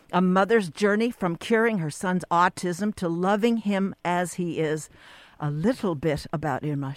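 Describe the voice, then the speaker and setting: A woman's voice: lowish voice, a little mellow, no room sound. A woman hosting a radio talk show, reading her guest's introduction and then interviewing her.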